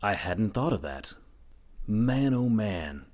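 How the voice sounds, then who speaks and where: A man's voice: fairly low pitch, very animated, takes it slowly, a little mellow. A man playing an earnest, dim reporter. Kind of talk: comedic amateur radio play.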